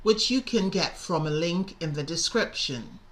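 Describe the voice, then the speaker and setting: A woman's voice: fairly low pitch, expressive, a little slow. A middle-aged woman teacher narrating a scripted lesson, enunciating carefully and stressing key words. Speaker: English teacher and presenter.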